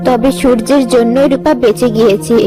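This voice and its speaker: A woman's voice: on the high side, fairly even intonation, fairly quick, close to an everyday voice. A woman voicing a tender, motherly village woman in a moral-story cartoon. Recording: music under the voice.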